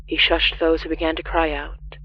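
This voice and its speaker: A narrator reading a scripted horror story in a grave, measured way, with deliberate dramatic pauses. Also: medium pitch, level tone, lo-fi sound, a little hiss or hum.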